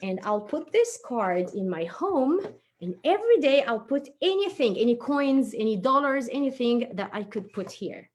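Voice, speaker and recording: A woman's voice: on the high side, big pitch swings, moderate pace. A woman voicing an eager, conscientious child puppet who thinks aloud and explains things simply in a children's story show. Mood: cheerful, eager. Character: chatty, eager young child puppet, impatient but conscientious.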